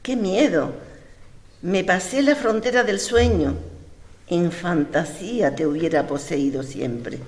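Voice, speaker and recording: A woman with an Andalusian Spanish accent reciting poems in a measured, deliberate way. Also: on the low side, darkish tone. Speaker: poetry reciter. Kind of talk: poetry recitation. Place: lecture hall. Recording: echoey hall.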